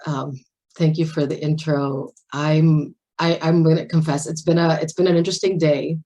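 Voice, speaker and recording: A woman in her forties from Puerto Rico, giving a personal talk at a virtual symposium, emotional and halting with many restarts. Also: low voice, level tone, mellow. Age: 40s. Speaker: guest speaker. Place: home at a video call. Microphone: video call mic.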